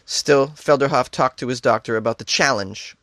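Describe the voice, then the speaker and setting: A man's voice: mid-range voice, moderate pace, pushed and bright, dry recording. Jokey man riffing on odd news. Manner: jokey, laughs at his own jokes; mock-incredulous asides. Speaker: host. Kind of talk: comedic news reading and riffing.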